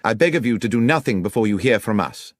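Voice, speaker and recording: A man doing a crisp, ironic gentleman detective. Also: lowish voice, expressive, quick pace, dry recording, studio quality, pitched above the performer's own voice, lightly acted. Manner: crisp, probing, dryly ironic. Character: sharp, precise male detective, middle-aged.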